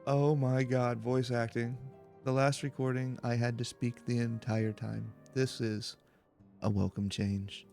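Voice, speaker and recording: A man hosting a relaxed video game let's play, talking in a low-key, halting, casual way over music. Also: gravelly. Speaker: host of a gaming let's play. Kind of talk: relaxed, slow-paced let's play commentary. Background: soft music.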